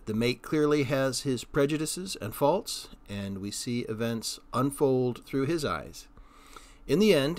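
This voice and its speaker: A man hosting radio reruns, giving an informal spoken introduction. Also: mid-range voice. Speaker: host of old-time radio reruns.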